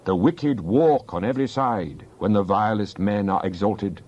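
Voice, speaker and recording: A man playing a devout psalmist in a dramatized Bible reading, praying in an earnest, deliberate, declamatory way. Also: lowish voice, darkish tone, lo-fi sound. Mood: solemn, declamatory. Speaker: scripture reader.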